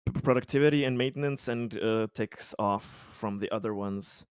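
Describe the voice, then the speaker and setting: A man playing a tabletop game in casual chat, halting and repeating words as he thinks aloud. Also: fairly low pitch, clean tone, dry recording, studio quality. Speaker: player.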